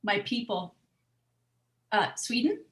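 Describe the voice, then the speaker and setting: A woman's voice: medium pitch, big pitch swings, takes it slowly, a bit bright. A woman playing as a contestant on a quiz show, chatting in a casual, playful way.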